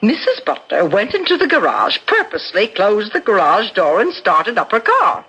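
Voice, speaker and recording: A woman's voice: medium pitch, wildly swooping pitch, moderate pace, a bit bright, slightly rough, dull audio, heavily acted. An actress in a 1970s radio drama playing an insistent, suspicious woman. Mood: dismissive, scoffing. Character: insistent, suspicious grieving woman, best friend of the deceased.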